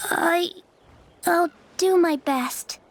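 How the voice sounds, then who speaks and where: A woman voicing a shy, earnest little child in a video game, hesitant and soft-spoken. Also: extremely high pitch, very slow, a little hiss or hum, exaggerated voice. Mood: earnest, grateful. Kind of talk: video game character voice acting.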